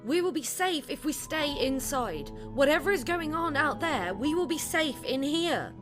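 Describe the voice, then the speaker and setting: A protective daughter in a zombie audio drama. Her voice is high and swings widely with urgency as she pleads. Music plays clearly behind her. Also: lively intonation, moderate pace. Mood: pleading, urgent. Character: anxious, protective daughter trapped in a zombie outbreak.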